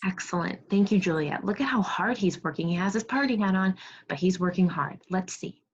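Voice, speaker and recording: A woman teacher reading a storybook aloud to young children in an online lesson, expressive, pausing to prompt and praise her pupils. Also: lowish voice, slight background noise. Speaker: second grade teacher. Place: remote video class. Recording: muffled, lo-fi online class audio.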